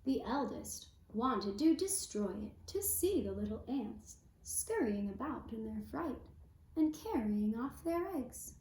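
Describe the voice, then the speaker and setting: A woman storyteller narrating a fairy tale for a puppet show in a measured, deliberate way. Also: on the high side, animated, dark tone. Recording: roomy, echoing sound.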